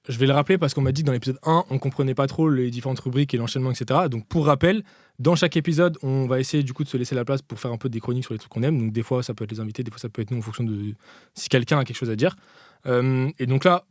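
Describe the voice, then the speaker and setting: Fast-talking man, informal and close-miked. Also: medium pitch, a little mellow, no echo. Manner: informal, slangy. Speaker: podcast host presenting a film column. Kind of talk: casual panel chat.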